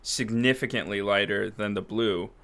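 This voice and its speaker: A man's voice: on the low side, steady tone, moderate pace, a bit bright. A man co-hosting a casual podcast, speaking bluntly and sardonically into a close desk microphone. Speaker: podcast co-host. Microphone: close USB desk microphone on an arm.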